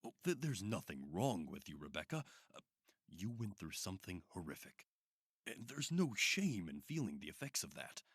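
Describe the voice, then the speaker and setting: Deep, husky-voiced persuasive male doctor. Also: very animated, moderate pace, dry recording, clean studio sound, heavily acted. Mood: clinical, reassuring. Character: calm, persuasive male therapist at sinister institute. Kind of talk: modern radio drama acting.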